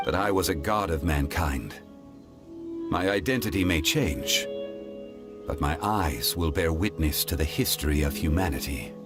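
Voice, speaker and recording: A deep, solemn ancient god. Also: male voice. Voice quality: deep, calm, resonant. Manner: measured, solemn, dignified. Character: ancient, composed male god in human form. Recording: game audio with soft music.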